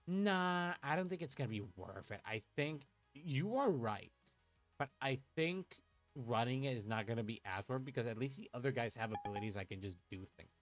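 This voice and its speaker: A man's voice: mid-range voice, expressive, moderate pace, faint music behind. A young man from Puerto Rico, chatting casually on a livestream while he plays a card game, thinking aloud, swearing and muttering to himself. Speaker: streamer playing an online card game. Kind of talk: casual livestream chat and play commentary.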